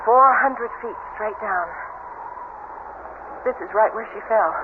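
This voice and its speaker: An actress playing a sardonic, knowing sister-in-law with a macabre streak in a 1970s radio drama, delivering lines in an arch, dramatic way. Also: female voice, on the high side, slow pace. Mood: ominous, macabre. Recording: very noisy, tinny old radio broadcast recording.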